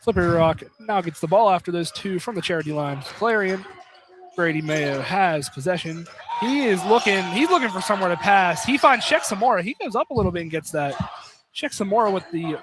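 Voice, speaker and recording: A man's voice: high voice, animated, a little fast. A man doing live play-by-play basketball commentary on radio. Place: college basketball field house. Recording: clearly noisy live broadcast.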